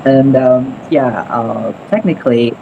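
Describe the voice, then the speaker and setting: A man, an English teacher, tells a story loosely and chattily, filling gaps with "like" and "um". His voice sits at an everyday middle pitch. Steady noise runs behind him on the call. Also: a little slow, vocal fry, lo-fi sound. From Indonesia. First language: Sundanese. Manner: chatty, loose, with many fillers. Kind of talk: casual storytelling in a meeting. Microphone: video call. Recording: noisy background.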